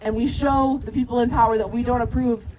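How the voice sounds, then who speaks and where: A woman's voice: a little high, steady tone, a little fast, dull audio. A woman organizer giving an impassioned, emphatic political speech at an outdoor rally. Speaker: activist organizer speaking at a rally. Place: outdoors at a protest. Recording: outdoor rally with music and crowd noise.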